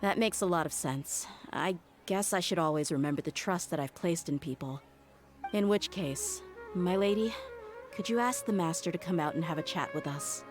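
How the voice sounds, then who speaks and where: A woman's voice: fairly low pitch, normal speed, soft background music, natural voice. A woman playing a proud, loyal young mercenary bodyguard in a video game, speaking bluntly and earnestly.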